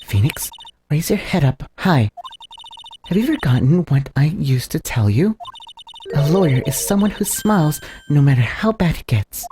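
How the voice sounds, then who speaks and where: A woman voicing a confident, commanding female defense mentor in a courtroom video game, assured and deliberate. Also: sits low, very animated, mellow, soft background music. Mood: encouraging. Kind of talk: video game voice-over, read live.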